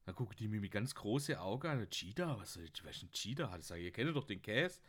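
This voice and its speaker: A man's voice: fairly low pitch, expressive, brisk. A young man telling rambling anecdotes casually, often laughing at his own stories. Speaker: podcast host.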